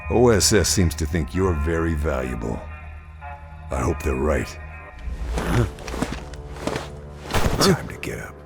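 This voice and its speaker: Casting: stoic male special agent in a war game, very deep bass, terse and grim. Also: normal speed, gravelly, over music. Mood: dry, wary. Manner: terse, dry. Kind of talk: dramatic game voice acting.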